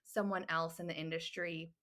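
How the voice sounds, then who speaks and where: A young woman hosting a casual podcast, with a slightly low but very bright, forceful voice. She is encouraging and agreeable, nodding along with quick "yeah"s and "mm"s. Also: flat delivery. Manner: agreeable, encouraging, many short affirmations. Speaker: podcast host.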